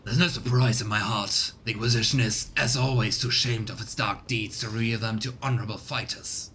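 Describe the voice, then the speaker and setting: A man's voice: fairly even intonation, fairly quick, pushed and bright. Man playing a proud, scornful space warrior. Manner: grandiose, contemptuous. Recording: rumbly background noise.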